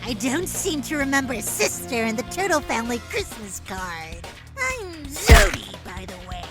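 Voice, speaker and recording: A woman's voice: high voice, very animated, normal speed, music in the background. A woman voicing a sly, taunting fairy villainess in a comic dub, drawling with mock politeness and sweet menace. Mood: mocking, playful.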